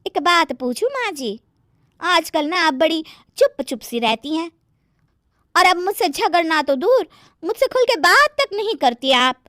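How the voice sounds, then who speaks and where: Woman playing a very high-pitched, sassy young daughter-in-law. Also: very animated, measured pace, a bit bright, dry recording, studio quality, full character voice. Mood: sweet, coy. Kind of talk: Hindi animated moral story acting.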